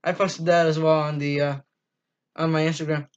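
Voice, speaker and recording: Teen boy, casual fan review, rambling, restarts often, webcam at a desk, muffled low-fi audio. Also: little pitch movement, moderate pace, smooth. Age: teens. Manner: rambling and enthusiastic, lots of ums and restarts. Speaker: fan channel host. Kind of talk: casual postgame review monologue. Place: small dim room indoors.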